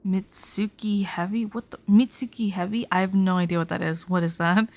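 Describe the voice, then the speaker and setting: A woman's voice: medium pitch, little pitch movement, brisk, darkish tone. A young woman livestreaming a game, chatting casually and reacting in an informal, giggly, sweary way.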